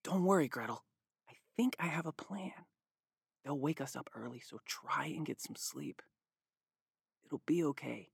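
A woman's voice: deep voice, expressive, unhurried, vocal fry, long pauses, slightly rough, dry recording, clean studio sound, voice pushed down, heavily acted. A woman voicing a young boy who whispers reassurances to his little sister in a spoken fairy tale. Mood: hushed. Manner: hushed, reassuring.

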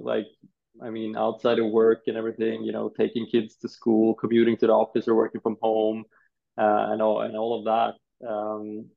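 A Swedish man in his thirties, a designer answering interview questions in a thoughtful, halting way, often repeating words. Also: medium pitch, creaky, phone-quality sound. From Sweden.

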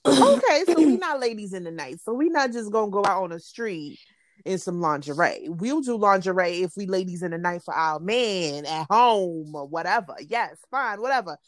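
A woman's voice: medium pitch, lively intonation, normal speed. A woman, co-hosting a casual chat, frank and pressing with her questions. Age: late 20s. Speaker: podcast co-host.

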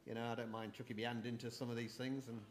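A middle-aged man with a medium-pitched voice, born in New Zealand and brought to the UK as a boy. He talks as a podcast guest in a casual, chatty way, wandering through his recollections. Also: little pitch movement, quick pace. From born in New Zealand, moved to the UK aged eight. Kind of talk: casual interview, reminiscing.

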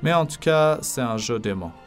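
A man in his twenties from Iran, speaking French, hosting a podcast in calm, earnest narration with occasional hesitations. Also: medium pitch, normal speed, soft background music. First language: Persian. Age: 20s. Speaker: podcast host.

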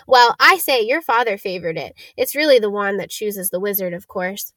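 A woman voicing an eerie, keenly knowing old male wandmaker from a storybook, soft-voiced and deliberate, with excitable exclamations. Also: mid-range voice, dry recording, natural voice. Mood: musing. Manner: deliberate, clipped listing of details, exclamatory greetings. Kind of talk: storybook character reading.

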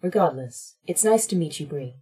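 Wry female AI, low, mellow, husky, measured, resigned, sci-fi audio drama. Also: expressive, full character voice. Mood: wry, composed. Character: wry, composed female AI in a creature's body.

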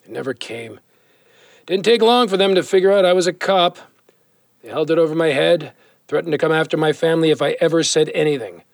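A man's voice: a little high, a little fast, creaky, no room sound, clean studio sound. A man playing a weary, guilt-ridden former cop and compulsive gambler in an audio drama, brooding and confessional in manner. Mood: bitter regret.

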